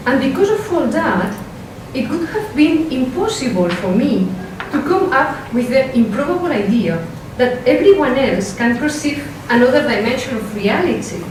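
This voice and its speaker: A woman philosopher with a strong Spanish accent giving a workshop talk, careful and measured, with hesitant fillers when she speaks off the script. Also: sits high, very animated. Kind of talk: academic lecture, partly read from a script. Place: lecture room. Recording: echoey lecture hall, mic from a distance, noisy.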